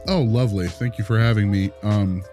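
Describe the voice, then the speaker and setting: Male actor, affable visiting consultant, fast, informal, rambling, slightly awkward, improvised audio drama. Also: lowish voice, warm tone, faint music behind, lightly acted. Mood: courteous. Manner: chatty, informal, polite, rambling.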